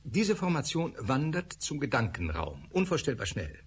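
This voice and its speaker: A man's voice: medium pitch, huge pitch swings, normal speed, slight background noise. An actor playing a male scientist who lectures with hesitant fillers in a radio drama. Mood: earnest lecturing. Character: male scientist explaining thought particles.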